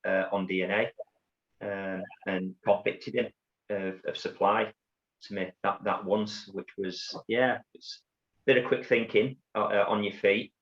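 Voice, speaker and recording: A middle-aged man with a Yorkshire accent, telling his story as an interview guest in a halting way, with many restarts and repeated words. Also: lowish voice, fairly even intonation. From Bradford, England. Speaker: guest, former undercover police officer. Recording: dull lo-fi audio.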